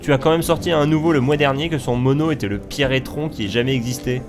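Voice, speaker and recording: A man's voice: medium pitch, quick pace, lightly acted. Man playing dry, sarcastic holidaymaker over music. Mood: wry. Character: wry, suspicious male holidaymaker. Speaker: amateur voice actor in an audio series. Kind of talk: French comic audio drama.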